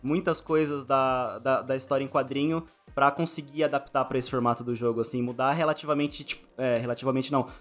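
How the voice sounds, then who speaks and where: A man's voice: mid-range voice, steady tone, fairly quick, hardly any pauses. A Brazilian man hosting a casual podcast interview, talking informally and rephrasing his questions as he goes. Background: background music.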